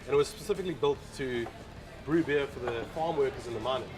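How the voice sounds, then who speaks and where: A man co-hosting a casual beer tasting show, upbeat and quick to laugh. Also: medium pitch.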